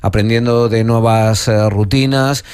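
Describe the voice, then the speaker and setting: A middle-aged man hosting a radio interview, speaking in a halting way with many restarts. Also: on the low side, fairly even intonation, darkish tone, clean tone. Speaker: radio host.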